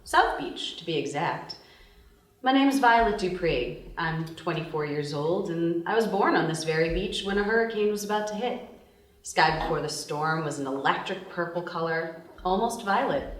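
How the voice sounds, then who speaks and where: Woman acting a lively, low-voiced young party-girl thief. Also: moderate pace, close to an everyday voice. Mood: storytelling, proud. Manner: wry, confessional storytelling. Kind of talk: dramatic voice-over at a table read. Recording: echoey room, distant microphone.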